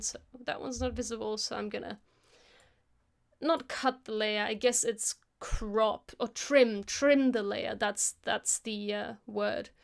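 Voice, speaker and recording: A woman hosting a live stream, casually talking through a software tutorial as she works, thinking aloud into a close microphone. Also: mid-range voice, creaky, clean tone. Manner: casual, chatty. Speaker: host of an animation live stream.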